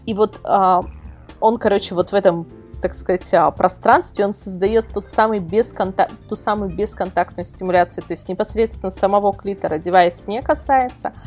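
Woman explaining informally over music, lower voice. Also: normal speed, a little mellow. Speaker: invited guest, editor of an adult toy website. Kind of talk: casual explanatory podcast talk.

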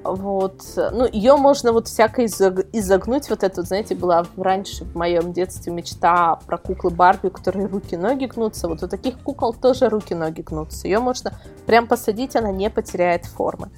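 A woman's voice: mid-range voice, brisk, few pauses, a little mellow, faint music behind. A woman speaking as an expert guest on a casual podcast, explaining things in a chatty way. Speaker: guest, magazine-style portal editor. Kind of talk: casual podcast interview answers.

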